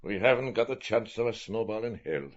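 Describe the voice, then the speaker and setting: A man reading an audiobook, voicing a brash, loud middle-aged newspaper editor. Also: fairly low pitch, animated, fairly quick. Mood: blustering. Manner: loud, blustering. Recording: dull lo-fi recording.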